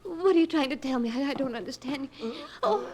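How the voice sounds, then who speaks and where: An actress playing a frightened young woman who fears for her sanity, in halting, breathless old-time radio horror style. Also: high-pitched, expressive, a crowd in the background. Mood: frightened, bewildered. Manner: breathless, halting, repeating words. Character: frightened young woman fearing she is going insane. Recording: noisy old radio recording.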